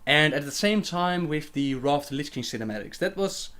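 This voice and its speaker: A man's voice: a little high, lively intonation, brisk, bright, forceful tone. A young man hosting a casual livestream Q&A, reading viewer questions aloud and answering them chattily with fillers and laughs.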